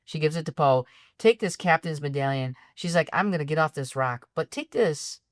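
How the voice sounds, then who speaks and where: Woman podcast co-host, lowish, dry close sound, exasperated ranting film critic, slightly raspy. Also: normal speed, dry recording. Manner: exasperated, ranting, animated complaints. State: voice getting raspy. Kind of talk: casual film critique chat.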